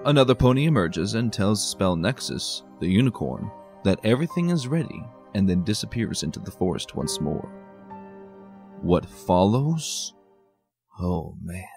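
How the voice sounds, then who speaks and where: Man, lowish lively voice, scripted review commentary, emphatic pauses, faint guitar music behind. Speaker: host, reviewer of fan fiction.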